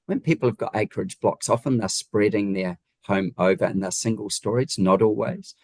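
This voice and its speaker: A man with a low-pitched voice and a New Zealand accent speaks as an interview guest, recorded dry and close. He explains things conversationally and leans on key points with emphasis and rhetorical questions. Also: normal speed, a little mellow, no echo. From Wellington region, New Zealand. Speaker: guest, home designer.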